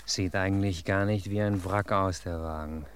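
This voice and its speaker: A man's voice: low-pitched, steady tone, moderate pace, a little hiss or hum, close to an everyday voice. A man playing an eager, talkative young police assistant in a 1950s radio play.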